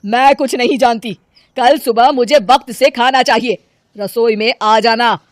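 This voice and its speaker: A woman voicing a sarcastic, exasperated older mother-in-law in an animated story, scolding loudly. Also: on the high side, a little slow, no room sound, studio quality, close to an everyday voice.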